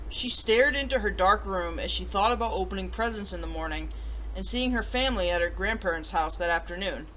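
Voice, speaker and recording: A woman's voice: medium pitch, a little fast, a bit bright, a little hiss or hum, rough recording. A woman hosting a children's story-time show, reading picture books and reviewing them in an enthusiastic, theatrical presenter's manner. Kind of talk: picture book read-aloud and upbeat review.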